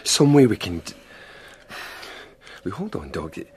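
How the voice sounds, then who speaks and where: An actor playing a gruff, resolute Antarctic expedition man, terse and grim, in a radio drama. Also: fairly low pitch, big pitch swings, unhurried, background noise. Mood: working it out.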